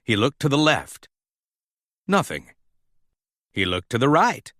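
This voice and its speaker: Slow, animated man reading a storybook. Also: medium pitch, no echo, studio quality. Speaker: storybook reader. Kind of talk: animated scripted storybook narration for children.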